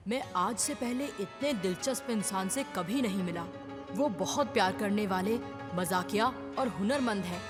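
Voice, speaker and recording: A woman's voice: mid-range voice, moderate pace, close to an everyday voice. A woman voicing a cheerful, food-loving young boy in a dubbed children's cartoon, eager and wide-eyed, with music playing behind. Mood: cheerful storytelling. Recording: clear music bed behind the voice.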